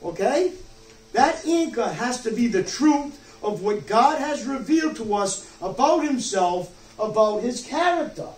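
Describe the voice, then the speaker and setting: An older man delivers a church sermon in a high, raised voice. He is emphatic and keeps checking in with short questions. The sound is distant and echoing, with some noise behind him. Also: expressive, unhurried, soft background music. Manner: emphatic, rhetorical questions, check-ins like "okay?" and "you see?". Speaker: pastor. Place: church sanctuary, preaching from a wooden pulpit. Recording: roomy distant mic, some background noise.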